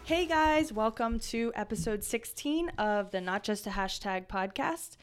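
A woman's voice: fairly high pitch, animated, measured pace, soft background music. A woman hosting a podcast, welcoming listeners and co-hosts in an upbeat, casual way. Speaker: podcast host.

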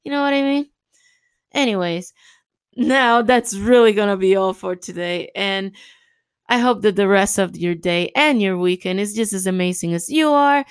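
An upbeat woman, a native Spanish speaker, rambles encouragingly through a casual podcast. Her voice is smooth and clear, and the middling pitch sits in a dry, close recording with no room sound. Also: moderate pace, no echo. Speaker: podcast host. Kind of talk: casual motivational monologue.

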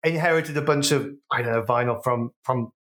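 A man's voice: mid-range voice, a little fast, a bit bright. A man in his forties hosting a podcast, rambling and joking at his own expense. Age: 40s. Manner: rambling, self-deprecating, jokey. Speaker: podcast host. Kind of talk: casual podcast chat.

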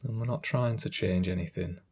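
A man leading a guided meditation as a calm, gentle guide, giving unhurried instructions. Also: low voice, slightly rough.

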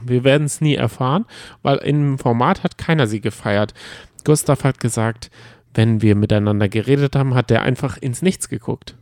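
A man's voice: mid-range voice, normal speed, a little mellow, dry recording. Wry male podcaster, dry close sound. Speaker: podcast co-host. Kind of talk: casual chat about a reality show.

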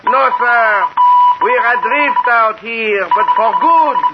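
A man's voice: very high-pitched, takes it slowly. An actor playing a bitter, mocking, half-crazed racketeer in an old-time radio drama, needling as he talks. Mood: mocking, manic. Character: taunting, half-crazed bitter male racketeer, cast adrift. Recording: noisy, dull old radio broadcast.

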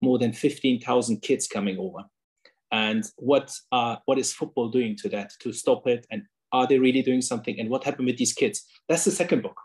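A man's voice: medium pitch, fairly quick, a little mellow. A man whose first language is German, talking earnestly in a casual interview from his kitchen table, with frequent fillers and restarts. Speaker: author and guest.

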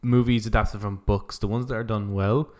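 A man co-hosting a podcast, chatting casually and haltingly as he thinks aloud. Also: lowish voice, fairly even intonation, a little mellow. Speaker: podcast co-host. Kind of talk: casual conversational discussion.

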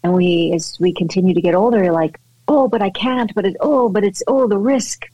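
A woman's voice: mid-range voice, a little fast, dark tone, no echo, muffled recording. A woman actress chatting in an interview, effusive and full of fillers and restarts. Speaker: actress guest. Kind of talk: casual interview chat.

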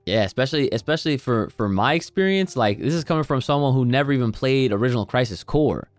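A man's voice: mid-range voice, lively intonation, fast, dry recording, faint music behind. A man co-hosting a podcast, talking casually with many fillers and restarts. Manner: rambling, lots of fillers and restarts. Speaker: podcast co-host. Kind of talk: casual chat.

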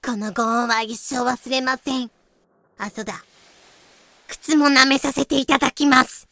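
A woman's voice: sits high, fairly even intonation, normal speed, creaky, pushed and bright, no room sound, heavily acted. A woman playing a haughty but cowardly ship captain, theatrical, in an amateur audio drama. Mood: grovelling.

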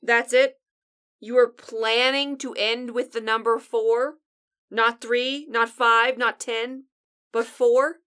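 A bossy survivor , played by a woman, co-hosting a radio show in a comedic audio drama. Her voice is high and bright, with crisp sarcasm and an exasperated, take-charge manner. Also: steady tone, takes it slowly. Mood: irritated.

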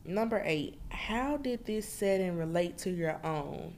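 A woman hosts a relaxed book review and speaks in a low, deep register. She is chatty and slangy. She addresses her listeners directly, as if they were friends. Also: unhurried, slight background noise. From Memphis, Tennessee.

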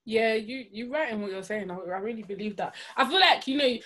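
A woman's voice: on the high side, a little fast, fairly bright tone. A woman in casual podcast chat, talking in a chatty, slangy way and laughing as she speaks.

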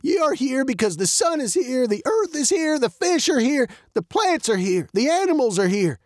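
A storybook narrator voicing an old male mountain wanderer, a grandfather given to emphatic, preachy spiels and quick to take offense. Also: high voice, sing-song, no room sound, studio quality, voice pushed up. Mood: indignant, preachy.

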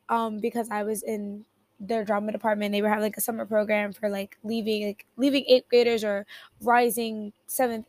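A young woman hosting a solo podcast, her voice raspy from just waking up, rambling and cutting herself off with little laughs. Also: a little high. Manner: rambling, self-interrupting, giggly. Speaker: podcast host. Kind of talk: casual solo podcast chat.

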